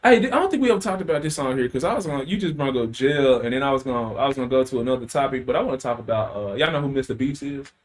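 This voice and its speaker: A man's voice: medium pitch, racing, few pauses. A man hosting a casual podcast, telling a story with loose slang, profanity and plenty of fillers. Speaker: podcast host.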